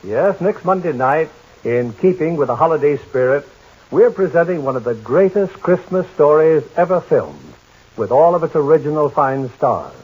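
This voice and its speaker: A middle-aged man hosting a 1940s radio drama broadcast, courteous and measured in a formal manner. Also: a little high, expressive, slightly rough, narrow, tinny audio. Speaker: radio drama host and producer.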